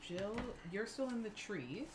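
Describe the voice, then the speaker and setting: A woman's voice: medium pitch, expressive, unhurried. A woman playing a tabletop game, chatting casually and jokingly with friends. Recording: loud background chatter.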